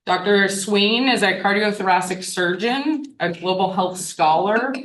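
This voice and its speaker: A woman with a loud voice moderating an academic panel, introducing speakers with frequent ums. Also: mid-range voice, unhurried, roomy sound, slight background noise, low-quality recording. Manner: loud, upbeat, with frequent fillers. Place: university seminar room.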